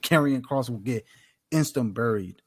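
A man's voice: mid-range voice, moderate pace, breathy edge, no echo. An American man in his thirties co-hosting a casual podcast, talking in an emphatic, opinionated, slangy way. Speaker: podcast co-host. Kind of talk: casual wrestling review chat. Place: small room at home, on a video call. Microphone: desktop condenser microphone, close.

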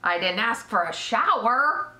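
A woman voicing a flustered, excitable male farmer from a storybook, hollering in exclamations. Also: a little high, measured pace, full character voice. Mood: exasperated. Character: excitable, flustered farmer man, proud of his pig.

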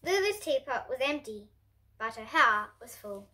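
A young girl of about ten to twelve reading a children's storybook aloud in an expressive way. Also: a little high, a little slow. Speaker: host reading picture books for kids. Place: indoor room in front of bookshelves.

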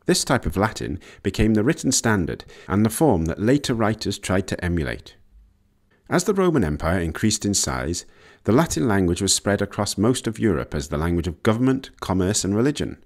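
A man narrates an educational video in a low voice. His intonation moves up and down in a lively way as he reads a prepared script, and the tone is on the mellow side. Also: fairly quick.

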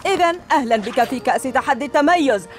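A woman's voice: sits high, expressive, fast, over music. A woman voicing a boastful, mischievous boy villain in a dubbed cartoon, gloating and bossy.

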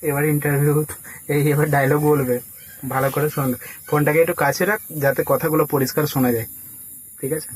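A man's voice: on the high side, steady tone, fairly quick, a little hiss or hum, low-quality recording. A man talking casually and persuasively on a prank call, coaxing and giving instructions like a casting agent. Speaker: prank caller posing as a film casting agent.

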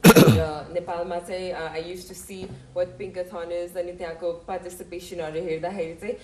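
A woman's voice: on the low side, flat delivery, very fast, a little mellow, echoey room, distant mic, a little hiss or hum, poor audio. A young woman from Nepal, a guest on a talk show, chatting and mixing languages mid-sentence. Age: young adult. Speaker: brand ambassador, guest. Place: TV studio set.

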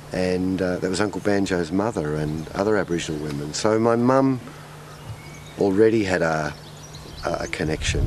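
Deep-voiced Australian man, thoughtful. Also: darkish tone, a little hiss or hum. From southwest Victoria, Australia. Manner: thoughtful, hesitant with ums. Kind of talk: documentary interview. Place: outdoors in a grassy field.

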